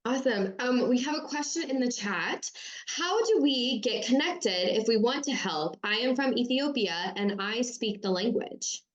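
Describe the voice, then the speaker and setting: 女性司会者がチャットの質問を読み上げる. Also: female voice, a little high, animated, unhurried, lo-fi sound. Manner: friendly, reads questions from the chat. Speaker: moderator. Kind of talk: moderating an online Q&A.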